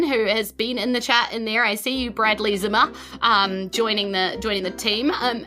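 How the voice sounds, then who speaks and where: Woman, New Zealand accent, crisp bright tone, medium pitch, tabletop podcast host, narrating, light music behind. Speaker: game master and podcast host. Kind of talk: game narration and scripted-casual show sign-off.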